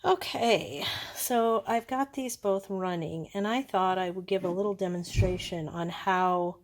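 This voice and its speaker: A woman's voice: on the low side, unhurried. A woman giving a casual, unscripted product demonstration, explaining things step by step in a conversational way. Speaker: product reviewer. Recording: clearly noisy recording with clicks.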